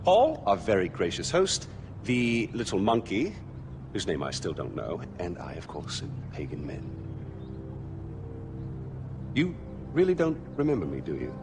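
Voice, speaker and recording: A man's voice: lowish voice, wildly swooping pitch, moderate pace, background noise. A man playing a flamboyant, middle-aged tyrant in a video game cutscene, theatrically polite, mocking and volatile. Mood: smooth, charming. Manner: theatrical, affected politeness breaking into swearing outbursts.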